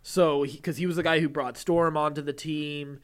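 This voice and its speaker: A man with a slightly high voice talks as a podcast co-host. His delivery is casual and chatty, like news shared between friends. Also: steady tone, moderate pace. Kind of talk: casual chat with read-out news items.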